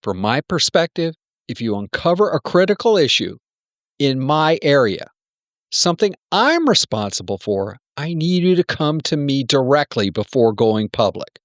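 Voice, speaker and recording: Give the part of a guarded software executive to a man acting it out. He is blunt and persuasive, always cautioning, with pitch that moves around a lot. The radio-play dialogue is recorded close and dry. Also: sing-song, moderate pace, no echo. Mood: guarded, advising. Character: wary, self-protective male senior software executive.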